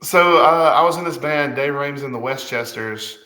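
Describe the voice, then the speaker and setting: A man's voice: medium pitch, moderate pace, pushed and bright, reverberant, poor audio. A man in his forties, a guest musician, talking casually and hesitantly with many fillers and restarts. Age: 40s. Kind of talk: casual conversation.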